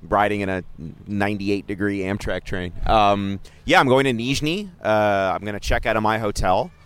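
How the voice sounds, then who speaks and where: A man's voice: fairly low pitch, normal speed, a bit bright, smooth. A middle-aged man co-hosting a podcast, chatting casually and joking, often restarting his sentences. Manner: rambling, self-interrupting, joking. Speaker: podcast co-host, sports journalist. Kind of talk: casual chat.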